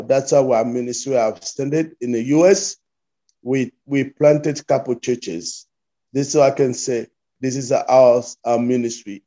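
A man in his fifties with a Congolese accent, a pastor answering interview questions haltingly and repeating his phrases as he goes. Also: medium pitch, mellow, muffled recording. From Democratic Republic of Congo. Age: 50s. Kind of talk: interview answers, recounting life story.

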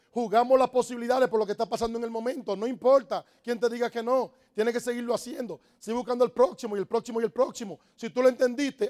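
A man's voice: extremely high pitch, a little mellow. A man from the Republic, giving an emphatic motivational business talk to a live audience, repeating his key points. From Dominican Republic. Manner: emphatic, repetitive, preaching-like exhortation. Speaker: network marketing motivational speaker.

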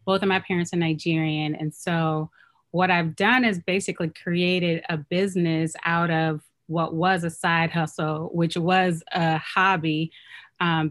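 A woman in her thirties from Oakland, a clothing business owner, talking casually and softly in an interview. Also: lowish voice. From Oakland, California.

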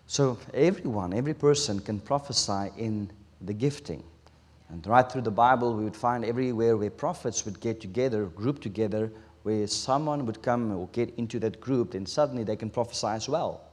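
A man from South Africa with an Afrikaans accent, whose first language is Afrikaans, preaching a sermon in an earnest, halting way with restarts. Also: on the low side, a little mellow, big-room sound.

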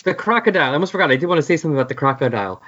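A man's voice: on the high side, lively intonation, very fast, fairly bright tone, highs cut off. A man co-hosting a podcast, chatting casually and wryly about comics. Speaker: podcast co-host.

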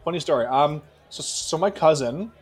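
A man's voice: on the high side, lively intonation, moderate pace, breathy edge. A man co-hosting a podcast in casual, profane banter, emphatic and repeating himself for effect. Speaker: podcast co-host.

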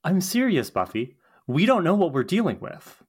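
A woman reading the part of an eager but anxious young witch in a podcast script table read, expressive and pleading. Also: lowish voice, moderate pace, breathy edge. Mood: nervous, serious.

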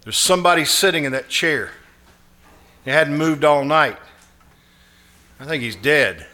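Man acting an anxious neighbour, slightly high voice. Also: animated, measured pace, bright, forceful tone. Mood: urgent. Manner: hesitant, insistent, stammering. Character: earnest, anxious male actor, nosy neighbour. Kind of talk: old-time radio suspense acting. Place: live performance at a winery.

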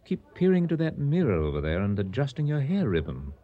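An actor playing an urbane, scheming restaurateur in a radio comedy, speaking in a formal, wordy, mock-indignant way. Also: male voice, mid-range voice, huge pitch swings, a little fast, phone-quality sound. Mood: sly, pedantic. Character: urbane, pedantic male restaurateur and scheming accomplice. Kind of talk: radio comedy drama acting.

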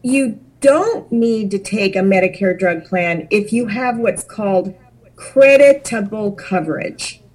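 A woman who works as a financial planner, a guest in an interview, telling her story with animated asides. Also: medium pitch, a little slow. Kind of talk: conversational interview.

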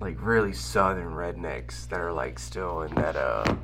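A man's voice: low voice, a little slow, over music. A man as a podcast guest trading casual comedic banter, slangy and profane, often jumping in with short interjections.

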